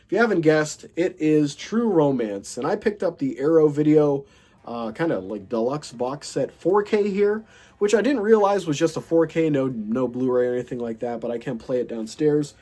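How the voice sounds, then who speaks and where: A man's voice: a little high, normal speed, dark tone. A man with a stuffy, nasal voice from a cold, hosting a casual movie collection chat, rambling and enthusiastic with lots of fillers and restarts. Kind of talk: casual chat showing a film haul.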